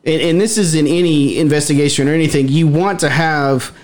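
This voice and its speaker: Man, fairly high pitch, emphatic, repetitive, casual group talk. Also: brisk. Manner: repetitive, restarts often, emphatic. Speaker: paranormal investigator.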